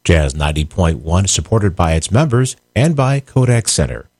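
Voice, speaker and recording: Man, sponsor read, husky, lively pitch, dry recording. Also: dry recording. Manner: measured, formal reading. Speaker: sponsor announcer. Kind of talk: scripted underwriting read.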